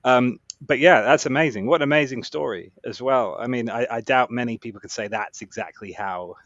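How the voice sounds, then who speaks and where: A man's voice: medium pitch, a little fast, a bit bright, no room sound, muffled recording. A man hosting a podcast interview, affirming his guest and asking about her background. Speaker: podcast host and editor. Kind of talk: casual interview chat.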